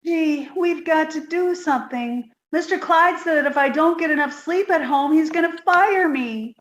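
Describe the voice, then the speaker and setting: A woman's voice: high-pitched, moderate pace, echoey room, lightly acted. A woman playing a naive, dim young secretary with an earnest, literal manner in a 1950s-style radio comedy.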